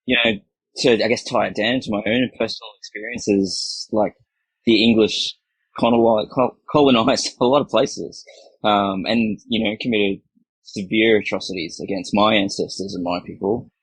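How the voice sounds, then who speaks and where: Gravelly low man from Scotland, host, measured and agreeable. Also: fairly even intonation, dry recording, rough recording. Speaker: podcast host. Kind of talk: casual podcast discussion.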